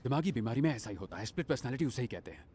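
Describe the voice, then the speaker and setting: A man's voice: mid-range voice, lively intonation, very fast, darkish tone, a little hiss or hum, lightly acted. Man voicing a wry, skeptical young man. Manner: sarcastic, wry. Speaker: dubbing actor. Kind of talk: Hindi fan dub of an action film.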